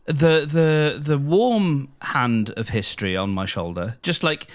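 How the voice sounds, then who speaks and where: A British man co-hosting a podcast in a casual chat, talking earnestly and haltingly, with some swearing. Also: fairly high pitch, animated. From United Kingdom. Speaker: podcast co-host.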